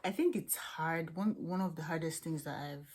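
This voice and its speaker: A woman's voice: low voice, normal speed, a little mellow, breathy edge. A young woman with a Nigerian accent chatting candidly as she answers viewers' questions about student life and faith. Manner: chatty, candid, with frequent restarts. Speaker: international student vlogger. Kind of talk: casual chat answering questions to camera.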